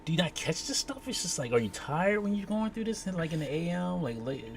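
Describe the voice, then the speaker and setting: A man with a mid-pitched, very lively voice chats as a panelist. He is emphatic and slips into imagined remarks, with faint noise around him on the live recording. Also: a little fast. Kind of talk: casual panel chat. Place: convention panel room.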